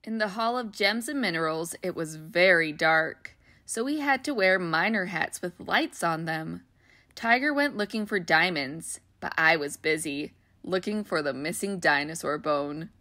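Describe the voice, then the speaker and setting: Female storyteller, bright and clear, warm read-aloud manner for kids, dry close mic. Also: fairly low pitch, unhurried, dry recording. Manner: gentle, expressive, child-friendly reading. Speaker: children's book read-aloud host.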